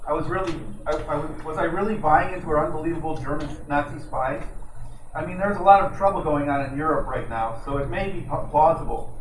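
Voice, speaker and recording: A man playing a smug, flirtatious hard-boiled detective in a 1940s-style radio drama, reading a little haltingly. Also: a little high, close to an everyday voice. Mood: narrating. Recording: noisy, echoey room, distant microphone.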